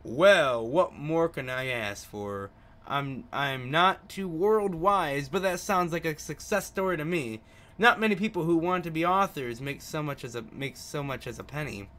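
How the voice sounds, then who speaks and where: A man's voice: fairly high pitch, expressive, a little slow, fairly bright tone. A man voicing a friendly, inquisitive young taxi driver in his twenties from a visual novel, in a casual manner. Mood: impressed. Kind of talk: visual novel character read aloud.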